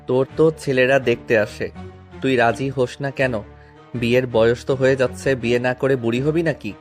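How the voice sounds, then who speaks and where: A man's voice: medium pitch, steady tone, moderate pace, natural voice. Guy acting a humble young servant in an audio drama, puts himself down, music behind him. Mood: teasing. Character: humble, self-deprecating young servant man, gentle and respectful.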